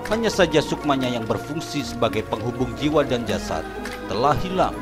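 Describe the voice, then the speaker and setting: A man's voice: medium pitch, sing-song, brisk. Male narrator telling a horror tale. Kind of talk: scripted storytelling narration. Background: music.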